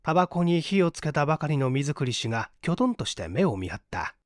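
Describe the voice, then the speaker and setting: Expressive, measured audiobook narrator. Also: mid-range voice, no echo, clean studio sound.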